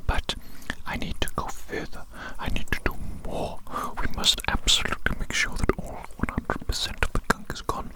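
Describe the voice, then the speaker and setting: An ASMR performer playing a fussy, obsessive evil doctor in a breathy whisper, repeating phrases theatrically. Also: natural voice. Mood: pleased, self-satisfied. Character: fussy evil doctor fixated on cleaning the listener.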